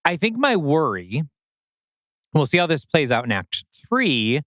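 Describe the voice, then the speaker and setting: A man co-hosting a podcast, discussing a story in a casual, analytical way with thoughtful, measured phrasing. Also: fairly high pitch, sing-song, fairly bright tone, dry recording, clean studio sound. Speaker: podcast co-host.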